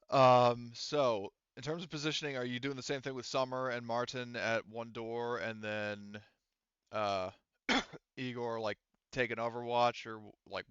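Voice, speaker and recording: A man acts as game master, speaking casually in a halting, feeling-his-way manner. His voice is bright and clean, recorded dry on a slightly dull microphone. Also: mid-range voice, smooth, no room sound. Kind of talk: casual tabletop game narration, directing players.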